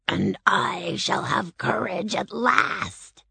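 Woman acting a gravelly, slow, timid lion. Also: mid-range voice, wildly swooping pitch, bright, forceful tone, dry recording, heavily acted. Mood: hopeful. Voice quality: gravelly, husky growl. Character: timid, weary male lion longing for courage.

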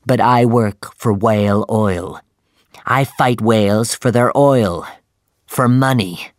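Brief: narrator playing a calm, cautious ship's mate, low and measured, slow, dry recording. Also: male voice, no echo. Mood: calm, firm. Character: steady, cautious first mate, adult man.

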